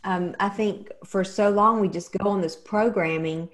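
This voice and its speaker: A woman's voice: mid-range voice, steady tone, normal speed. Woman interviewer, enthusiastic host, leans hard on key words. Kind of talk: conversational interview.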